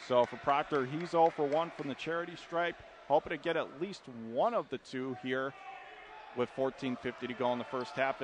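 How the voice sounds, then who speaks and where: A man calling live radio basketball play-by-play in clipped running phrases, punching up the big plays. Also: medium pitch. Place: indoor college basketball arena. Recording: noisy courtside gym broadcast. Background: gym noise, bouncing basketball.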